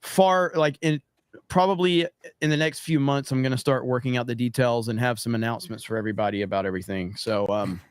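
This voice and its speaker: A man co-hosting a live stream, excitable and quick to laugh, making plans for a fan meetup. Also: medium pitch, clean tone, no echo, studio quality. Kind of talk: casual live-stream chat.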